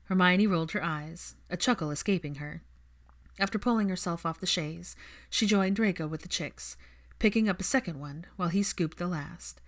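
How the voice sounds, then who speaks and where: A woman's voice: on the low side, moderate pace. A woman reading fiction aloud as an audiobook narrator.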